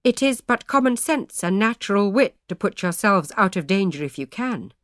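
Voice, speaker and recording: A woman voicing a mild, calm male parson who speaks in measured old-fashioned words. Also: medium pitch, no room sound, clean studio sound, lightly acted.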